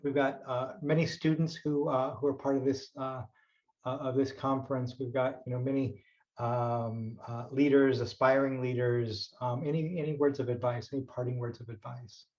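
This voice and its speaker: A man's voice: mid-range voice, fairly even intonation, moderate pace, a little mellow. Moderator man over dull call audio. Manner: hesitant, filler-laden. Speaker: panel moderator. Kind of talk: moderating online panel.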